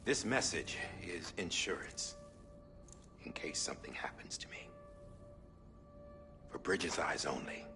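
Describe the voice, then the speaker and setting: An actor playing a masked male director who gives a secretive recorded message in a hushed, confiding way. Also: low voice, animated, unhurried, pauses often, whispered, music in the background. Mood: grave. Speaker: director of an organization.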